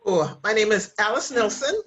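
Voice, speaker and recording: Woman guest, medium pitch, conversational, explanatory podcast talk. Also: sing-song, brisk, fairly bright tone. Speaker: podcast guest, writer and co-host. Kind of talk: conversational self-introduction.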